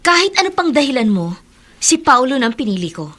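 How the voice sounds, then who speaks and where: A woman's voice: sits high, very animated, brisk, a bit bright, full character voice. An actress with a Filipino accent playing a firm, skeptical young woman in a horror radio drama, with a dramatic delivery. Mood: firm, defiant.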